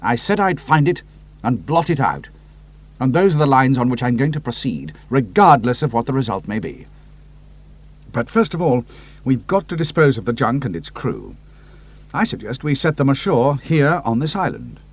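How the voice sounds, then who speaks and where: A man reading the lines of a brisk, commanding British pilot hero, with a crisp and decisive delivery. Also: medium pitch, big pitch swings. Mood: resolute.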